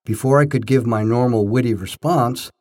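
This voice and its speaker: An older man narrating his own scripted first-person stories in short, measured phrases with a storyteller's emphasis. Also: mid-range voice, darkish tone.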